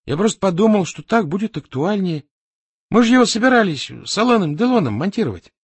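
A man's voice: a little high, very animated, slow pace, darkish tone, husky, no room sound, heavily acted. A man reading an audiobook as a frightened male subordinate, panicky and pleading his innocence. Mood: bewildered.

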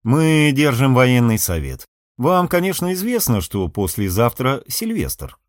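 A portly, aging sea captain as a male narrator plays him, jovial and scheming. He speaks with mock-formal emphasis and big swings of pitch, in a dry storybook recording. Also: big pitch swings, measured pace, no echo. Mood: inviting.

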